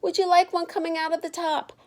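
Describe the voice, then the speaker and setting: A woman's voice: very high-pitched, little pitch movement, fast talker, a little mellow, voice pushed up. A woman voicing a patient, questioning mother in a storybook reading. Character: patient, puzzled mother questioning her young daughter.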